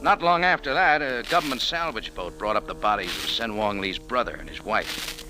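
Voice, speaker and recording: An actor playing a tough, sardonic American café owner in an old-time radio adventure, with clipped, commanding delivery. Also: male voice, medium pitch, a little fast, music in the background, lightly acted. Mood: wry narrating. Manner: hard-boiled, clipped, commanding. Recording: noisy old radio drama recording.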